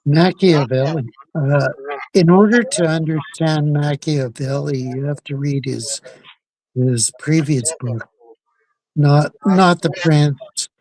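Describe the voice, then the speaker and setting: A man's voice: a little high, takes it slowly, darkish tone, no room sound, low-quality recording. A man in casual conversation giving offhand explanations in a rambling, hesitant, agreeable way.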